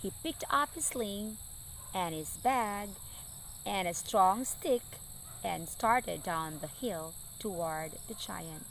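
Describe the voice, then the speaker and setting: Female children's storyteller, teacherly, expressive and unhurried, with highly varied intonation. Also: creaky, slight background noise, rough recording. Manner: deliberate, expressive phrasing for children. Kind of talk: animated read-aloud children's storytelling.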